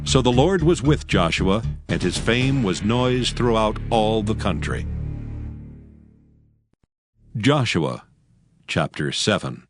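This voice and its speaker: Low-voiced male scripture narrator. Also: animated, a little slow. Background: music.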